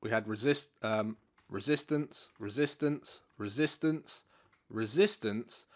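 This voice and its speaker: A man with a congested, stuffy nose, walking through market charts in a relaxed way with many fillers and restarts. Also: mid-range voice, slow pace. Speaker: forex trading educator. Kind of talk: live market analysis walkthrough.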